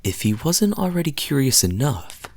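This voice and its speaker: A man playing a charming, teasing young fantasy knight in an intimate audio roleplay, telling stories to the listener in a soft, breathy voice. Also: mid-range voice, very animated. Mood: hushed storytelling. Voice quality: soft, husky, breathy at times. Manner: smooth-talking, teasing, unhurried storyteller with dramatic pauses.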